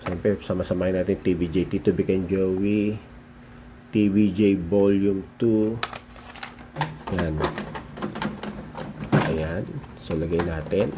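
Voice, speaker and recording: A Filipino man doing a casual, unscripted show-and-tell as a vlogger, halting and muttering to himself as he handles things. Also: low-pitched. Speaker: vlogger showing his cassette collection. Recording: noisy recording with handling clicks.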